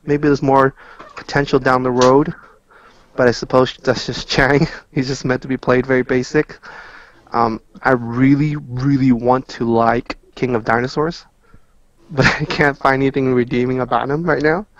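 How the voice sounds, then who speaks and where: A man's voice: mid-range voice, steady tone, moderate pace. A man chatting casually as a guest on a gaming podcast, explaining moves in dense player jargon. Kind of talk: casual game analysis chat. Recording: dull lo-fi audio in a roomy space.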